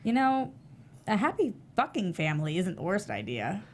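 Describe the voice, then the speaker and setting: An actress reading a frank, caring wife in a script table read, wry and direct. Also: female voice, medium pitch, big pitch swings, moderate pace, slight background noise, natural voice. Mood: earnest.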